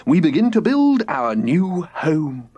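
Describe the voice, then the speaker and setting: A man voicing a middle-aged father in an audiobook, pompous and theatrical, declaiming rapturously in drawn-out phrases. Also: on the high side, very animated, warm tone, no room sound, lo-fi sound, pitched above the performer's own voice, heavily acted. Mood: grandly cheerful. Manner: declamatory, drawn-out, breathy exclamations.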